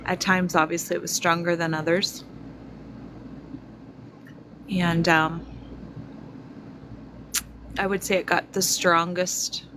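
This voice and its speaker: A woman in her forties speaking slowly and reflectively to her viewers, pausing often with fillers. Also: on the low side, little pitch movement, noisy background. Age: 40s. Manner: slow, reflective, many fillers. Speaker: spiritual vlogger. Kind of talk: personal testimony to viewers.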